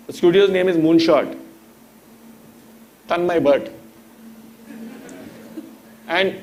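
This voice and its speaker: A man's voice: high voice, a little hiss or hum. A man in his thirties with an Indian accent, speaking as a guest in a motivational talk, teaching through stories and rhetorical questions. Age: 30s. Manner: didactic, storytelling, rhetorical questions.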